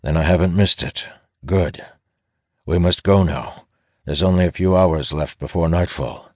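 A man's voice: sits low, unhurried, no room sound, studio quality, voice pushed up. A man voicing a stern, seasoned ranger in a fantasy audiobook, speaking firmly and tersely. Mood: urgent. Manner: firm, terse. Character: stern, seasoned male ranger veteran, commanding. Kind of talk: audiobook fantasy character acting.

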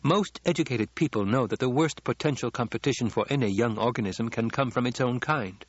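A man's voice: medium pitch, brisk, breathy edge, dry recording, highs cut off, natural voice. A man voicing a precocious young nobleman, formal and challenging with a brittle edge, in an audiobook. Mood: brittle, assured. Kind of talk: audiobook character dialogue.

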